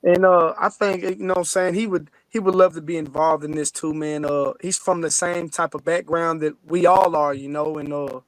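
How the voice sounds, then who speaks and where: A man's voice: on the high side, little pitch movement, moderate pace, few pauses, no room sound, poor audio. A man speaking casually as a podcast guest, very informal, with frequent conversational tags checking that he is understood. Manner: informal, frequent "you know what I'm saying" and "man".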